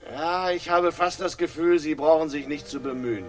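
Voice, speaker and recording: A man's voice: a little high, moderate pace, a little hiss or hum, natural voice. An actor playing a grimly ironic police inspector in a radio crime drama, mock-jovial and hesitant, repeating himself as he needles. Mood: slyly needling. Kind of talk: 1960s German radio crime drama acting.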